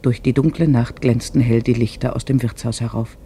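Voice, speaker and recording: A man narrating a literary radio play in a measured storytelling manner. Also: medium pitch, fairly even intonation, warm tone, slight background noise.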